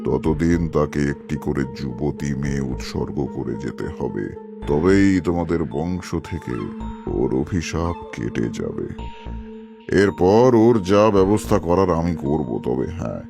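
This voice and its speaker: A man narrating an animated ghost folktale and playing a grave tantric priest, in a solemn, measured scripted delivery. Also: very deep voice, creaky, over music, full character voice.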